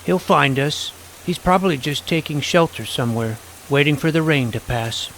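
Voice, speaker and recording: A man's voice: on the high side, moderate pace, fairly bright tone, a little hiss or hum, rough recording. A man playing a naive, self-doubting, geeky boy who narrates his fantasy adventure in a wry, youthful, earnest way. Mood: hopeful. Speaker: narrator and lead character. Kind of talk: first-person scripted fantasy narration and dialogue. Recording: music behind the voice.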